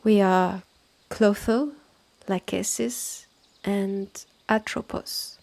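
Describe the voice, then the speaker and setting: Breathy, slow, French-accented protective healer played by a woman. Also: medium pitch, steady tone, darkish tone, no room sound, full character voice. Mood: confiding, matter-of-fact. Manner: slow, soothing, breathy, often whispering. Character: calm, protective immortal healer, eldest sister of a witch. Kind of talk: ASMR roleplay.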